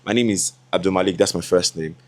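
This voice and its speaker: A young Nigerian man in his twenties chats to his viewers in a loose, laughing vlog voice. His tone sits a little low for a man. Now and then he slips into Yoruba asides. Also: steady tone, fast talker. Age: 20s. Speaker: content creator. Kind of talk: casual vlog talk to camera.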